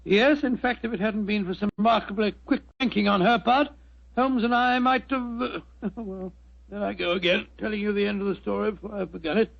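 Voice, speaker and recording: Genial older British doctor, high creaky voice, bumbling and repetitive; muffled old-time radio drama. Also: male voice, steady tone, fairly quick. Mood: genial, reminiscing. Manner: bumbling, stammering, repeats himself. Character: genial, flustered English doctor, fond husband and storyteller. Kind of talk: 1940s radio acting. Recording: muffled vintage 1940s radio recording.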